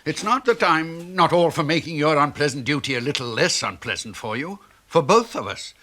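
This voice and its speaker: A man's voice: a little high, animated, normal speed, bright, forceful tone. A man playing an indignant, sarcastic husband suspected of murder in a 1970s radio play. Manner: sarcastic, defensive.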